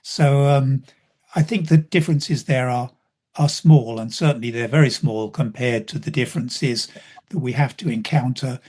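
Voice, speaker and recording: A British man giving an unscripted answer as a symposium speaker, in measured academic phrasing with many hesitant pauses. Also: mid-range voice, a little mellow, husky. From Britain.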